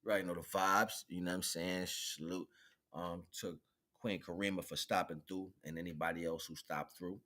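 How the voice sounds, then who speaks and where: Deep-voiced American man, bright and forceful. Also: fairly even intonation, normal speed. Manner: slangy, informal street talk. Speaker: livestream host. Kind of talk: casual livestream chat with shout-outs.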